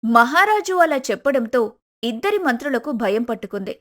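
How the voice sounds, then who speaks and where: A woman's voice: a little high, big pitch swings, normal speed. A woman reading scripted story narration as a storyteller.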